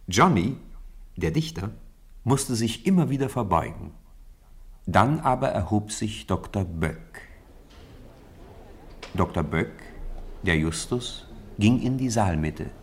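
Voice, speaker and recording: A man narrating an old radio drama with a calm, steady storyteller's delivery. His voice is very low and slightly husky. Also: slow, pauses often.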